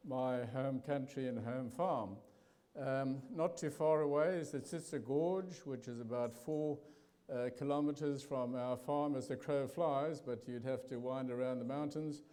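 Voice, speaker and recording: An older man with a South African accent giving a testimony talk in church, pausing often with fillers and restarts. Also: medium pitch, mellow. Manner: halting, many fillers and restarts. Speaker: guest preacher.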